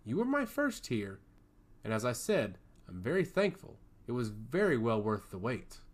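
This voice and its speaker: A man voicing a charming god of chaos in a fantasy story, speaking with calm, theatrical confidence. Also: mid-range voice, moderate pace. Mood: earnest, charmed.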